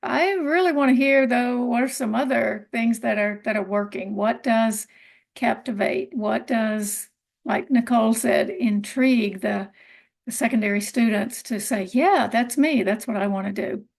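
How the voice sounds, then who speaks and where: A woman teacher educator introduces herself on a video call, curious about ideas from others. Her voice sits high with a lot of gravelly vocal fry. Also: unhurried.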